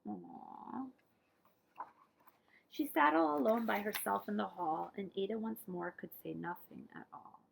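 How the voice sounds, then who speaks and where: A woman reading a picture book aloud to children as a librarian, with expressive, dramatic emphasis. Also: medium pitch, measured pace, gravelly, slight background noise, rough recording. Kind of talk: animated storybook read-aloud.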